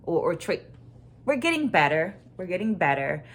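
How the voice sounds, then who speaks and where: A woman with a slightly low voice speaks as the host of an online book club. She presents her notes and thoughts deliberately, leaning on key phrases and repeating them for emphasis. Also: very animated. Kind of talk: book discussion vlog with takeaways and read quotes.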